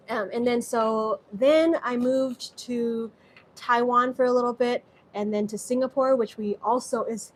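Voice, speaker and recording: A woman's voice: fairly high pitch, darkish tone, a little hiss or hum, poor audio. Chatty woman from Southeast Asia, cooking live. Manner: chatty, reads viewer comments aloud, rambling with many restarts. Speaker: live-stream cooking host. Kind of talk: casual live-stream chat while cooking. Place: home kitchen.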